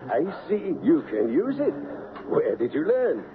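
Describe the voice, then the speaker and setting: A man's voice: a little high, huge pitch swings, measured pace, narrow, tinny audio, crowd noise, full character voice. A man playing a reckless, mocking swashbuckling villain with a taunting, sardonic manner in a radio drama, on an old noisy recording.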